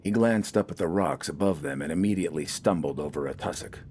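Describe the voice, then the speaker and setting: A man's voice: a bass voice, fast talker, a little mellow, a little hiss or hum. A man reading scripted fiction as an audiobook narrator.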